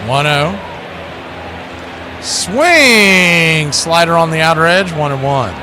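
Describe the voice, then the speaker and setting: A man with a fairly high voice calls a baseball game play by play. He is conversational, stretching out some calls and slipping in quick asides. Clear background noise runs under his voice. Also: animated. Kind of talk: live play-by-play commentary.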